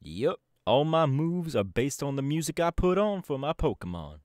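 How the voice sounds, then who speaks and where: A man's voice: a little high, big pitch swings, moderate pace, a little mellow, dry recording, studio quality. A man voice acting a laid-back young male DJ gang boss in an ASMR audio roleplay, talking in an easygoing, chatty drawl. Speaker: voice actor.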